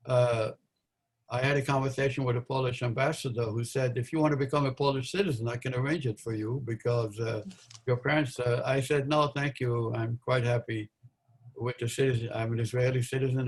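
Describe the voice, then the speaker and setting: A man in his eighties from Belgium, a guest recounting his memories in an interview, talking in a halting way with many fillers and restarts. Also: medium pitch. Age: 80s. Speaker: interview guest, Holocaust survivor.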